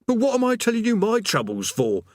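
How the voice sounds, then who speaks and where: A man's voice: wildly swooping pitch, a little fast, no echo, studio quality. A man voicing a put-upon, mournful demon gatekeeper who grumbles like a tired petty official, in an audiobook story. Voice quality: rasping, rumbling. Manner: plodding recited customer-service patter breaking into chatty working-class grumbling.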